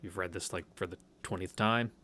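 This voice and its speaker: A man's voice: deep voice, fairly even intonation, a little fast, sharp tone. A man hosting a visual novel read-through, mixing casual, rambling commentary with reading aloud. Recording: game music under the voice. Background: game background music.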